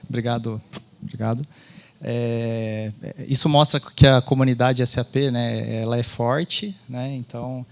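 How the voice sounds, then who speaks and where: A man's voice: mid-range voice, fairly even intonation, measured pace, vocal fry, a little hiss or hum. Brazilian man hosting an event opening. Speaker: event organizer and host opening a conference. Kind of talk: informal welcome speech.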